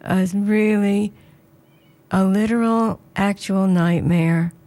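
Woman, radio presenter, slightly hoarse, earnest devotional chat, medium pitch. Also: steady tone, takes it slowly, warm tone. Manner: earnest, prayerful, conversational.

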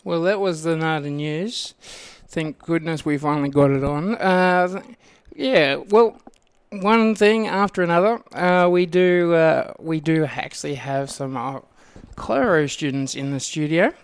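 An Australian man hosting a casual radio interview in a rambling, halting way. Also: a little high, clear, resonant tone. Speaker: community radio host. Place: radio studio.

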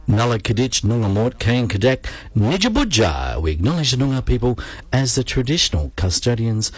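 Husky Australian man hosting radio. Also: mid-range voice, big pitch swings, fairly quick, no room sound. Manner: camp, playful, teasing banter. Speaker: radio host. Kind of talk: community radio chat show. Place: radio studio. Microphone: studio microphone.

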